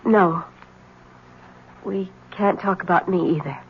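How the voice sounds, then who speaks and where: A woman's voice: fairly low pitch, slow, slight background noise. An actress playing a frightened, secretive young married woman in a 1970s radio drama, pleading and anxious. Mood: nervous. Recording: dull lo-fi 1970s radio broadcast.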